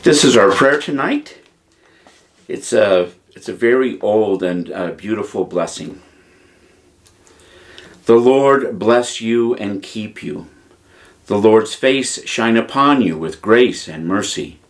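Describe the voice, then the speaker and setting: A man, a priest, speaking to children in simple, gentle words as he leads a storytime with song and blessing. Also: lowish voice, lively intonation, takes it slowly, pauses often. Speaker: priest telling children's Bible stories.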